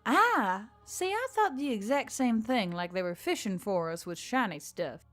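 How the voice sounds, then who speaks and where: A woman's voice: on the high side, big pitch swings, unhurried, a bit bright. A woman voicing a confident young female adventurer, casual and teasing, in an audio story. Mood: curious, cheeky. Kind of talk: audio fiction character acting. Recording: background music under the voice.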